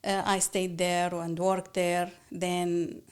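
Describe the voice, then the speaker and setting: A woman from Iraq, telling her story as a podcast guest in a halting, soft-spoken way with many fillers. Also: fairly low pitch, little pitch movement, clear, resonant tone. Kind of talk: personal story in an interview.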